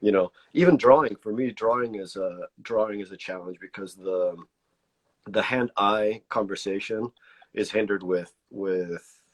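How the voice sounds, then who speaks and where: Mexican-born man, Spanish first language, slight border accent, lowish voice, halting and unpolished, casual interview guest. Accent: pocho border Spanglish-tinged American English. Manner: hesitant and searching, many restarts and fillers.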